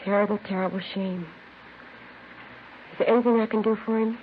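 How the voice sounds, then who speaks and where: An American actress playing a grieving, forgiving wife in a soft, sorrowful 1950s radio drama style. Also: female voice, medium pitch, fairly even intonation, fairly quick, lightly acted. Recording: muffled, noisy 1950s radio recording.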